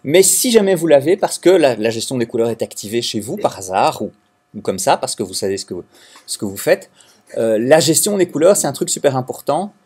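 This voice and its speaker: A man from Belgium teaching a software class to students, explaining step by step with frequent restarts and hesitations. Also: mid-range voice, big pitch swings, brisk, husky. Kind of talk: recorded classroom lecture.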